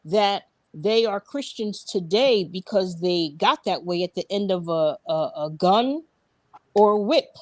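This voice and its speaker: A woman co-hosting a casual live-stream panel chat, speaking firmly and directly, with quick affirmations. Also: mid-range voice, expressive, a little mellow, no echo.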